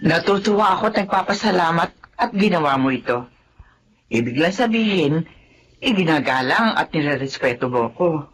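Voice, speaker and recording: A woman playing a protective middle-aged mother, gracious but strict, measured and admonishing, in a Filipino radio drama. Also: low voice, lively intonation, breathy edge.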